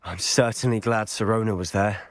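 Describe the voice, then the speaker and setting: A young actor playing a cautious, curious wizard schoolboy in a game, brisk and concerned. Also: male voice, on the low side, steady tone, lightly acted. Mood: friendly.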